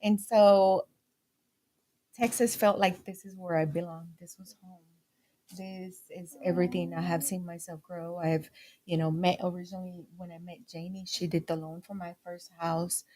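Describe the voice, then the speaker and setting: Guest, weiblich, 40er Jahre, ricanischer Akzent, offen erzählend, mischt Spanisch ein. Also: female voice, lowish voice, flat delivery, normal speed, mellow. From Puerto Rico. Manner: candid and storytelling, switching into Spanish phrases. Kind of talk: casual interview.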